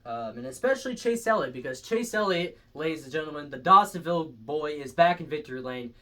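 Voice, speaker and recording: A man's voice: a little high, pushed and bright. A young man casually reviewing race results on his own, rambling and correcting himself with lots of fillers. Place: indoors, stepping back from the camera at times.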